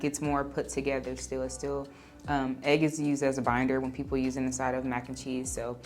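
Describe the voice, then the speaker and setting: Low-voiced woman in her twenties. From Los Angeles. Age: 20s. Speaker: personal chef, contestant.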